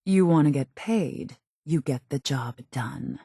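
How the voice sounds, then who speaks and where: Female gang boss, dark low register, husky edge, composed, formal, calculating, quiet menace, video game character. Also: takes it slowly, no room sound, clean studio sound, exaggerated voice. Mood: curt, commanding. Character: cold, calculating female raider gang leader.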